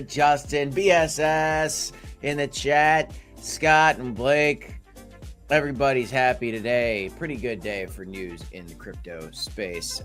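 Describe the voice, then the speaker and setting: A hoarse man hosts a loose crypto livestream, his voice deep and strained as he loses it. He jokes, repeats lines and calls out to his chat at a middling pitch. Faint music plays underneath. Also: fairly bright tone. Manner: jokey, repeats phrases, talks to chat. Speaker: crypto show host.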